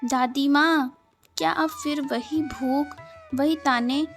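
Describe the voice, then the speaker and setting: A humble, kind-hearted village girl in an animated storybook, voiced by a woman narrator. The voice is high and unhurried. She speaks modestly, with a pleading edge. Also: faint music behind. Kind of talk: cartoon storybook voice acting.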